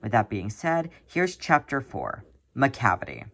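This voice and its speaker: A mid-pitched voice reads a piece of fan fiction aloud. The delivery is scripted narration, told in an expressive and dramatic storytelling manner. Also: measured pace.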